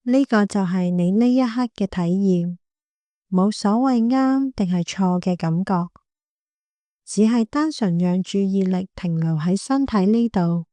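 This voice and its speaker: A woman with a Hong Kong Cantonese accent guiding a meditation in a gentle, calm, unhurried manner. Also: mid-range voice, dry recording. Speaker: meditation guide, clinical psychologist. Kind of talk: guided body scan meditation.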